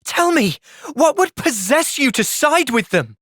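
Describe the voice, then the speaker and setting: A man's voice: extremely high pitch, big pitch swings, a little slow, a bit bright, dry recording, clean studio sound, exaggerated voice. A man playing an earnest, thoughtful young soldier hero with a British accent in a video game. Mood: angry, desperate. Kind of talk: video game cutscene voice acting.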